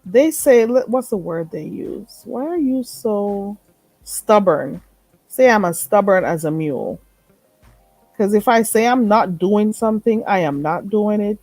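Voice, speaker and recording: A woman's voice: mid-range voice, animated, unhurried, a little mellow, dry recording, soft background music, rough recording. Chatty woman with a stuffy nose. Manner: chatty, emphatic, repeats herself. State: stuffy, a little nasal. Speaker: live stream host. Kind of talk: late night live chat with viewers.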